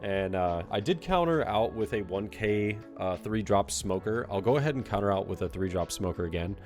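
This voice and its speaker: A young man with a deep, low-pitched voice that sounds stuffed up and congested. He talks through a card game in a loose, relaxed way, full of "you know" and "uh," and sometimes points out his own mistakes. Soft music plays quietly underneath. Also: moderate pace. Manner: relaxed, filler-heavy, self-critical play-by-play. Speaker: card game player and commentator. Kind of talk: casual commentary over a recorded match.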